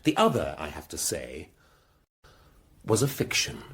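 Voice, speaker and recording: Radio drama detective: shrewd English inspector, lowish voice, widely swinging intonation, deliberate and accusatory. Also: male voice, huge pitch swings, a bit bright, slight background noise, heavily acted. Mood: dramatic, accusing. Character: shrewd, commanding male police inspector exposing a killer. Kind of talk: British radio drama acting.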